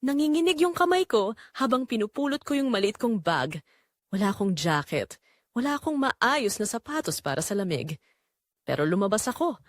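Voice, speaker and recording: A woman's voice: on the high side, sing-song, normal speed, no echo, studio quality. A woman with a Filipino accent playing a weary, anguished migrant-worker mother, telling her story in an emotive, confiding audio drama narration.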